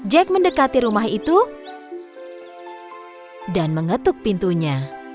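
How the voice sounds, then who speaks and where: A woman's voice: mid-range voice, sing-song, unhurried, darkish tone, faint music behind. A woman narrating a scripted fairy tale for children.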